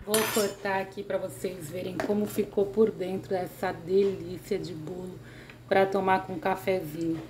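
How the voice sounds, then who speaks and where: A woman's voice: fairly low pitch, steady tone, normal speed, noisy background. Woman narrating a home recipe. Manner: friendly, uses many diminutives. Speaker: cooking channel host.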